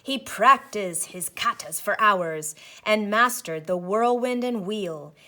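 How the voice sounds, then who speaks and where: A woman teacher reading a children's storybook aloud in an expressive narrating style. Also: medium pitch, slow, slight background noise.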